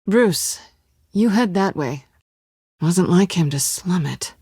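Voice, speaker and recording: An American woman playing a sly, guarded cat-burglar in a video game, teasing and coolly wry, with a husky, breathy voice. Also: fairly low pitch, lively intonation, slow pace, warm tone, no room sound, clean studio sound, full character voice. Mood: cool, watchful.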